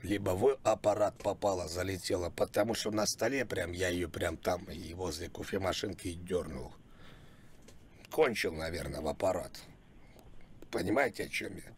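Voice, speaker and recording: A man voicing a crude, rambling older customer on a prank phone call, husky, repetitive and mock-apologetic. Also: low voice, moderate pace, a little hiss or hum, lightly acted. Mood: earnest, rambling. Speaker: prank caller.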